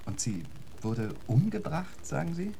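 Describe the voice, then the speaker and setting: An actor playing a witty, urbane gentleman poet in a radio drama, elaborately polite, wry and eloquent. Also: mid-range voice, sing-song, measured pace, gravelly, breathy edge, full character voice. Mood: alarmed.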